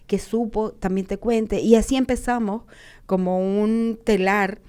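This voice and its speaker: A woman's voice: medium pitch, fairly even intonation, measured pace, mellow. A woman from Chile, a photojournalist guest, telling a story with enthusiasm and acting out the lines of the people in it. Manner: enthusiastic, acts out quoted dialogue. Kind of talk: animated storytelling.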